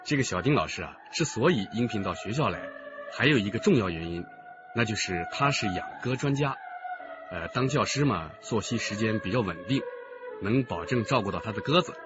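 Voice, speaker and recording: A man's voice: on the low side, animated, brisk, lo-fi sound, lightly acted. An actor playing an affable, talkative male primary school principal in a radio drama. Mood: explanatory. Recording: band-limited radio drama with music behind.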